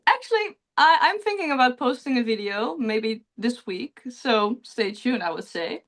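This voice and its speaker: A woman's voice: on the high side, normal speed. A young woman with a Dutch accent, a pageant contestant answering interview questions in earnest, chatty talk over a video call, often laughing. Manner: earnest, chatty, laughs often, relives moments with lively quoting.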